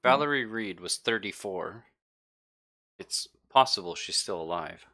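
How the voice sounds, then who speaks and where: Slow, low-voiced man, bright tone. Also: expressive. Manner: halting, thinking aloud. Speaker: game master. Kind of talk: improvised narration for a tabletop roleplaying game.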